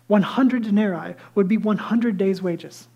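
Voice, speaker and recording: Male pastor, high light voice, lively conversational sermon, hushed asides. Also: a little slow, vocal fry, breathy edge, lo-fi sound. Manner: lively storytelling that drops to hushed, near-whispered asides; self-deprecating and conversational.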